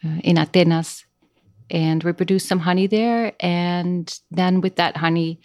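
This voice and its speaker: A middle-aged woman from the Netherlands with a Dutch accent, speaking English as a guest in a casual interview, pausing often with fillers as she searches for words. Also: fairly low pitch, fairly even intonation, darkish tone, dry recording, clean studio sound.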